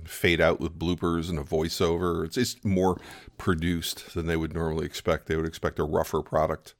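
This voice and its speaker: Deep-voiced wry male podcast host. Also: flat delivery, moderate pace, dry recording. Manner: dry wry humor, DJ-like introductions. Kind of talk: casual chat, radio-style hosting. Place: home studio, recorded locally over Skype.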